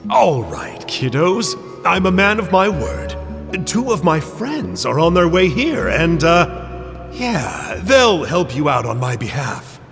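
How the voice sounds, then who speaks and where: An actor playing a sly, glib drifter in a video game, talking to children in a teasing, theatrical way. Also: male voice, on the high side, big pitch swings, takes it slowly, gravelly, full character voice. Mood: breezy, evasive. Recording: long reverb. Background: music.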